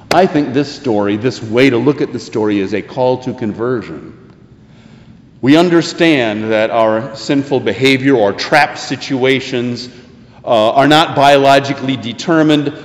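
An American man preaching a sermon in an earnest, deliberate way, leaning on key phrases. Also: medium pitch, muffled recording. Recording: echoey hall with long reverb.

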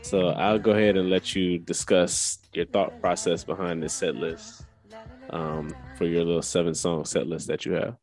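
A man with a very low voice hosts a music radio show. He chats casually and introduces songs, with some music around his voice. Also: little pitch movement, moderate pace, darkish tone.

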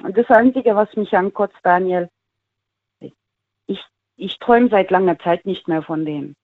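A woman's voice: medium pitch, moderate pace, dry recording. A woman calling a radio show by phone, telling personal things in a colloquial, emphatic way with repeated phrases. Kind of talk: casual personal storytelling. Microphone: telephone. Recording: thin, tinny phone line.

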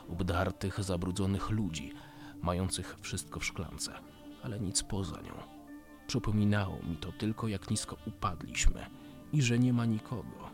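Casting: male actor as embittered survivor of a bleak future, brooding measured monologue for radio drama, music underneath. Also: sits low. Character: weary embittered male survivor in a dystopian world. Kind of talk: scripted first-person radio drama monologue.

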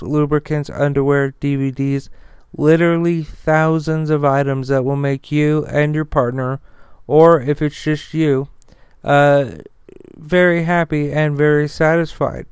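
Halting man with a stutter, very clear voice. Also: on the high side, a little mellow, dry recording, studio quality. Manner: halting, stumbling delivery. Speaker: podcast host. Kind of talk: news rundown and sponsor reads, informal.

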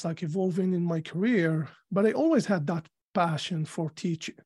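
A man from Algeria with an Algerian accent, answering interview questions in a measured, thoughtful way with frequent fillers. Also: high-pitched, a little mellow, husky. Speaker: guest. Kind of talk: casual interview.

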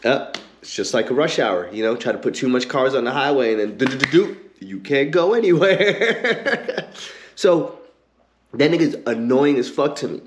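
A man's voice: medium pitch, lively intonation, slight background noise. Dude with a slight stutter ranting on his podcast, lots of cussing, um and like everywhere. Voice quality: occasional stutter. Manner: profane, heated, pauses with fillers. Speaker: podcast host. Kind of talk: ranting commentary.

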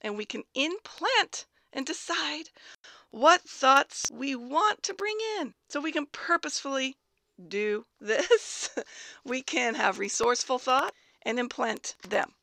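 A woman life coach giving a casual motivational talk, slightly hoarse from a cold, upbeat and chatty, laughing often as she speaks. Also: sits high, animated, takes it slowly, bright, forceful tone. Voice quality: raspy.

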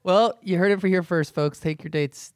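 A man's voice: high voice, fairly even intonation, normal speed, smooth, dry recording, clean studio sound. A man hosting a podcast in a casual, playful and wry way. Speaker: podcast host.

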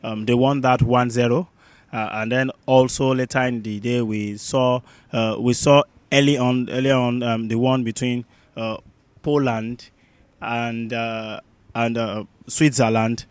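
A man co-hosting a sports roundup, talking conversationally and haltingly, often repeating his words. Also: medium pitch, fairly even intonation, no echo. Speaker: sports show co-host.

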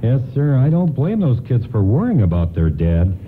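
Male actor as friendly store doorman, radio play. Folksy, earnest, lively pitch swings, muffled broadcast with faint noise. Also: normal speed, lightly acted.

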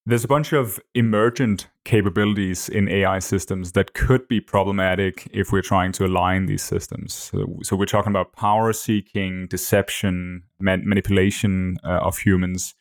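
A man whose first language is Danish, hosting a podcast and asking measured questions with frequent word repeats. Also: low voice, steady tone, dry recording, clean studio sound. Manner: measured, repeats words while forming questions. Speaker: podcast host. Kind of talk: interview questions.

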